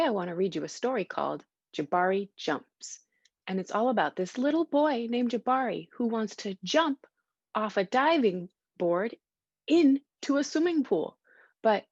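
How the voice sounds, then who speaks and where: A woman reading a storybook aloud to young children, expressive and simply phrased, stopping to ask them questions. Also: on the high side, slightly rough, no echo. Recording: dull, lo-fi sound.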